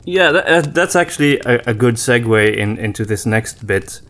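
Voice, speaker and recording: A man co-hosting a podcast in casual conversation, reflective and often pausing to search for his words. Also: medium pitch, expressive, a bit bright. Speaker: podcast co-host.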